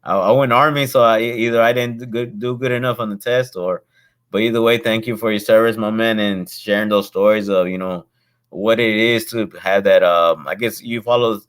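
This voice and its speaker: A man hosts a casual chat in a friendly, rambling way and often drops in slang like "bro." His voice sits fairly low in pitch, and he talks loosely, with lots of restarts. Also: steady tone, normal speed, smooth. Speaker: host, real estate investor.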